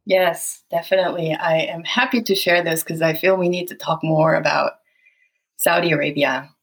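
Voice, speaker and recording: A woman with a Korean accent speaks in a fairly low voice. She answers interview questions earnestly and thoughtfully. She laughs easily as she recalls her past. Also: steady tone, moderate pace, breathy edge. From South Korea. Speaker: guest.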